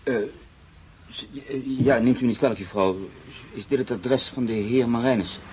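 A man playing a proud, brooding newspaper writer in a radio drama, with measured, literary delivery. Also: mid-range voice, darkish tone, lightly acted. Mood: awkward, polite. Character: proud, brooding male newspaper writer, sceptical and easily unsettled. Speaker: actor. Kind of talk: radio drama acting, monologue and narration. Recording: radio play with clear background noise.

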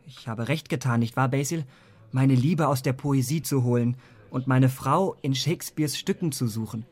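A man acts out an impressionable young romantic for radio drama. His mid-pitched voice is husky and soft-edged, and he speaks with earnest, rapturous feeling. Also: normal speed, lightly acted.

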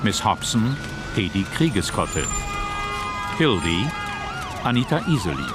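A man reading out radio drama credits as announcer, in a measured, formal way. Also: lowish voice, sing-song.

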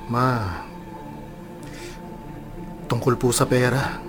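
A man's voice: mid-range voice, steady tone, drawn-out words, music in the background. A man playing a troubled, respectful young adult son in a radio drama. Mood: troubled, hesitant.

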